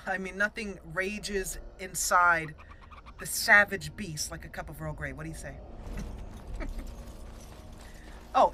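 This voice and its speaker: A woman's voice: lowish voice, pushed and bright, slight background noise. A woman hosting a casual roleplay game playthrough, chatty and giggly with playful exclamations. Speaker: let's play host. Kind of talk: casual gameplay commentary.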